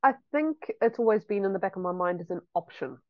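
A woman's voice: medium pitch, animated, fast talker, no room sound, lo-fi sound. A woman, a homeschooling mother chatting reflectively in an interview with frequent ums. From New Zealand. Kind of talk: casual interview chat.

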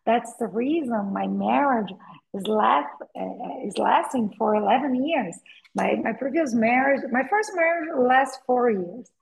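A middle-aged Brazilian woman with a Portuguese accent, speaking English as a second language, talking as an interview guest and pausing often with "uh" and repeated words. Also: on the high side, gravelly, rough recording. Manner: hesitant, with frequent "uh" pauses and repeated words. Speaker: guest, attorney and novelist. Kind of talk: conversational interview.

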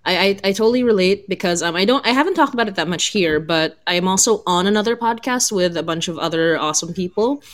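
A woman's voice: on the low side, animated, quick pace, few pauses. A woman who hosts podcasts, chatting casually and agreeably on a live panel in loosely phrased sentences. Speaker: podcast host.

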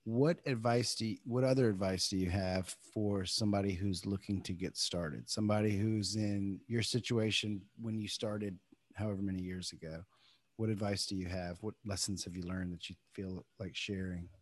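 A man with a fairly low voice hosts a podcast in easy, casual conversation. He talks in a halting way, often restarting his sentences, and the recording is close and dry. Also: steady tone, dry recording. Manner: halting, many repeated starts. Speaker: podcast host.

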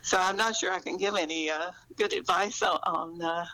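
An older woman answers interview questions casually, in an unhurried, halting way, often repeating words. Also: on the low side, bright, forceful tone, dry recording, poor audio. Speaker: novelist being interviewed.

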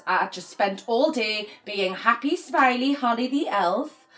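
Grumpy, exasperated sister, played by an actress, fairly high. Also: female voice, natural voice. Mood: weary, sour. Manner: sarcastic, exasperated. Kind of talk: naturalistic audio drama acting.

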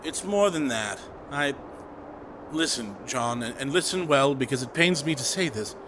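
An actor playing a brash, excitable, stubborn radio director in an audio drama, speaking with grand theatrical emphasis. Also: male voice, mid-range voice, normal speed, background noise, lightly acted. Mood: earnest, persuasive. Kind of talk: audio drama acting set in 1930s radio world.

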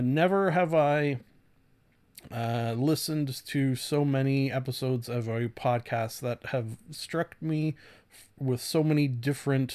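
A man hosting a solo podcast in a casual, rambling way, halting often, swearing lightly and putting himself down. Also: medium pitch, a little mellow, clear, resonant tone. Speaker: podcast host.

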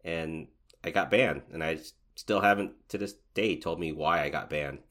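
A man co-hosting a podcast, chatting in a laid-back way that trails off quietly. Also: a bass voice, moderate pace. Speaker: podcast co-host. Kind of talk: casual chat.

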